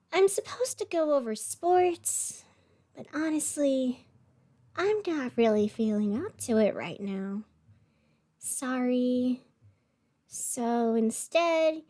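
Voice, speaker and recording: A woman's voice: high-pitched, warm tone, dry recording. A woman playing a wry, chatty small-town radio host, narrating casually in a scripted audio drama over music. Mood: flat, apologetic.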